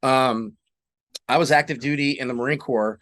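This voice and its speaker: A man's voice: medium pitch, steady tone, moderate pace, a bit bright. Man, middle-aged, from Southern California, podcast guest, candid storytelling, casual cursing. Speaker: guest, singer-songwriter and Marine veteran. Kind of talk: casual interview chat.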